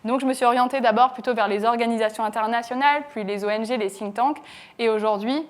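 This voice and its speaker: A woman's voice: a little high, steady tone, fast. A woman, a former diplomatic advisor, describing her career on a panel in a fluent, earnest way. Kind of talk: panel talk, career story.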